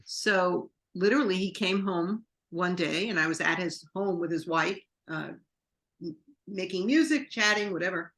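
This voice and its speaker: A woman's voice: fairly low pitch, moderate pace, a bit bright. A woman from New York, a guest in an interview, telling long rambling anecdotes full of quoted dialogue. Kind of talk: interview storytelling.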